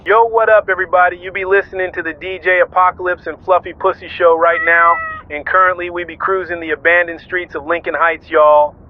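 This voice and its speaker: High-pitched swaggering slangy male radio DJ, acted. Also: little pitch movement, brisk, hardly any pauses, natural voice. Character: swaggering, sentimental street-slang pirate radio DJ. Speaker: radio DJ host. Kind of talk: slangy improvised-sounding radio monologue. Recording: phone-like radio band, music behind. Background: music and vehicle noise.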